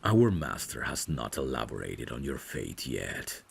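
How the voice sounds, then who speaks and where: Man playing a very deep, creaky vampire butler. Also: sing-song, a little hiss or hum, exaggerated voice. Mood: grave, regretful. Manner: formal, deferential, measured, gently solicitous. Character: courtly, caring male vampire butler, soft-spoken and protective. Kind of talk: ASMR audio drama roleplay.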